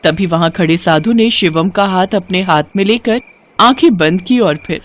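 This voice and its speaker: A woman's voice: lowish voice, moderate pace. A woman storyteller giving a dramatic scripted narration of a story.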